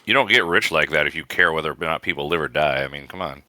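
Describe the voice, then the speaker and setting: A man's voice: a bass voice, quick pace, bright, forceful tone, no room sound, studio quality. A man co-hosting a casual movie commentary podcast with sarcastic jokes. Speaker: podcast co-host.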